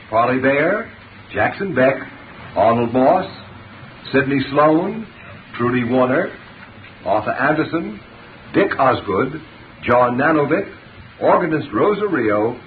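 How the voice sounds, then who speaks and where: A man announcing a 1930s radio show in a formal, polished scripted style. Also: medium pitch. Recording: tinny, noisy 1930s radio recording.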